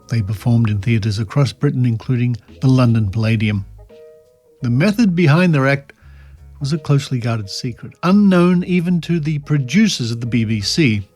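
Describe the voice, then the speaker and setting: A man hosting a podcast, narrating and reading old articles aloud in a measured, deliberate way with dry asides. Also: mid-range voice, darkish tone. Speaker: podcast host and presenter.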